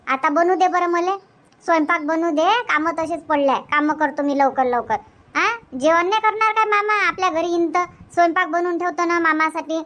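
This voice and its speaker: A woman's voice: very high-pitched, fairly quick, a bit bright. A woman voicing a busy young village mother in a cartoon comedy, nagging and repetitive, with a Varhadi Marathi accent. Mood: exasperated, instructing.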